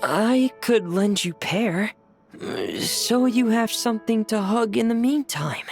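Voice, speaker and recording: A woman voicing a calm young woman who speaks gently and earnestly. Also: fairly high pitch, slow pace. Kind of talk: video game voice acting. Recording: soft game music behind.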